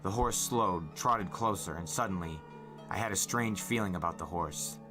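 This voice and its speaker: A man's voice: low-pitched, moderate pace. A man reading a first-person novel aloud as a dramatic storyteller. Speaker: storyteller, podcast host. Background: faint music.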